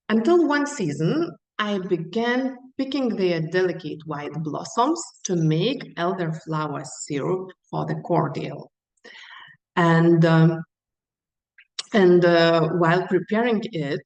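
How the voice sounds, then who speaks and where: Echoey, low-pitched Lithuanian woman presenting. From central Lithuania. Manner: unhurried, storytelling with rhetorical questions. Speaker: small hospitality business owner, guest speaker. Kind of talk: prepared webinar presentation. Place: online webinar, echoey room. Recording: roomy echo, distant microphone.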